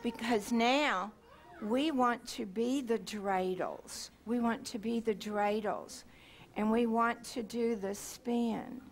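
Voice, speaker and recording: A woman's voice: on the high side, slow. A middle-aged woman leading children in a sing-along, giving simple instructions and repeating phrases for them to echo. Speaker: children's music leader. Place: large indoor room, on stage before seated children.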